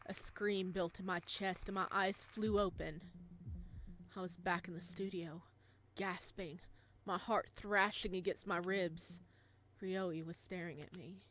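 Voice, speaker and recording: A woman's voice: lowish voice, a little slow. A woman reading a visual novel game aloud, with dramatic, theatrical narration and playful reactions. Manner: expressive, theatrical.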